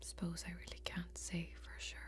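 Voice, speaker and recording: A young woman playing a gentle, wistful warrior in an ASMR fantasy role-play, speaking in a slow, breathy, hushed and intimate way with long pauses. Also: lightly acted. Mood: hushed, confiding. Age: young adult. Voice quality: breathy, whispery.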